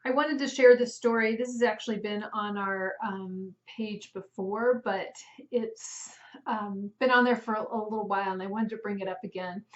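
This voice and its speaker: A woman's voice: mid-range voice, fairly even intonation, normal speed. A woman swim instructor giving a heartfelt, casual video message to her students, with frequent ums. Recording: roomy, dull sound.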